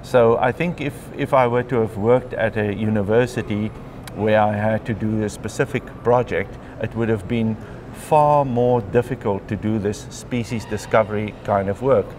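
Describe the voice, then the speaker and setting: A man in his fifties with a South African accent, telling his story in a relaxed conversational interview, recorded on a lapel mic in a large glass atrium. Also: lowish voice, normal speed, slight background noise. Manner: chatty, frequent ums and clears throat. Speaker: interviewee, mycologist.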